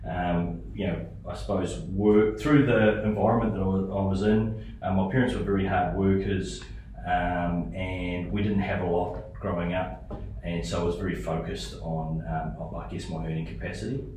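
Deep-voiced New Zealand man, echoey room. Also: steady tone, moderate pace, darkish tone. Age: 40s. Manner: hesitant, many fillers and restarts. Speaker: podcast guest. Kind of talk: casual interview talk. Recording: echoey room, distant mic, faint noise.

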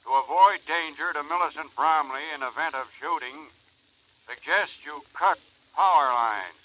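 An actor playing a hesitant, deferential American police officer in a 1940s radio drama. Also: male voice, fairly high pitch, moderate pace, sharp tone. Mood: reading aloud. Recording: dull vintage 1940s radio recording.

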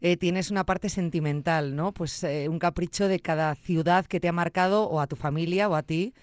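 A woman from Zamora, Spain, hosting a podcast interview in an expressive, exclamatory way with wide swings in pitch. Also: moderate pace, no echo, studio quality. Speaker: podcast host. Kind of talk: casual interview.

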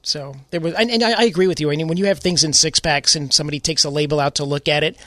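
A middle-aged man from New York co-hosting a garden talk show, explaining in a measured, careful way with small restarts. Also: fairly high pitch, fairly even intonation, pushed and bright, no room sound. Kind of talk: casual radio chat.

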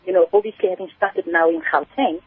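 South african woman on the phone, husky voice, dry tinny sound, chatting away explaining stuff in an interview. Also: lowish voice, brisk, dry recording. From Kimberley, South Africa. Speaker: guest, spice business entrepreneur. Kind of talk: conversational radio interview answers.

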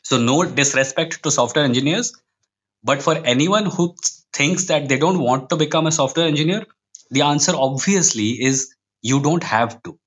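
A man's voice: fairly high pitch, moderate pace, fairly bright tone, husky. A man with an Indian accent speaking as a podcast guest in casual, opinionated conversation, with a wry, emphatic manner that tends to digress. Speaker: podcast guest, comic book writer.

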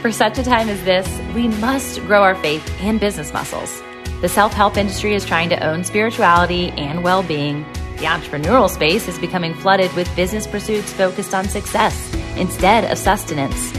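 A woman's voice: medium pitch, normal speed, music in the background. A woman podcast host delivering a scripted motivational introduction in an emphatic, rallying manner.

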